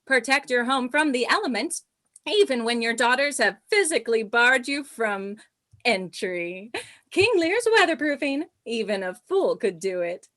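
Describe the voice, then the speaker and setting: A cheesy commercial announcer played by a woman, pitching in a salesy way. The voice is fairly high, with slow, drawn-out delivery. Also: animated, a bit bright. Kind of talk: parody radio commercial.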